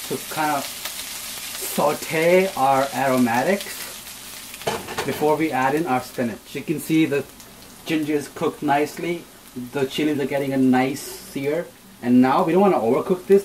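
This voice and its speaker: Young man hosting, kitchen noise behind. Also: on the high side. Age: young adult. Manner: explains step by step. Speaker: home cooking show host. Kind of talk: casual cooking demonstration. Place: small home kitchen, indoors.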